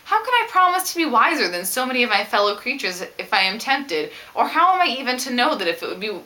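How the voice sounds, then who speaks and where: A woman reading aloud as a witty, self-assured young Regency gentlewoman from a novel, formal and expressive. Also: a little high, fast, voice pushed up. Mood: earnest, reasoning.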